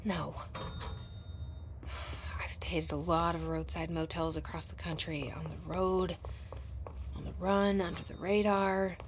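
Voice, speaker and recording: A low female voice speaking very slowly over noisy ambience. She is guarded and focused, murmuring her thoughts in an introspective way. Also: level tone. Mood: uneasy, reflective. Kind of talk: introspective game narration.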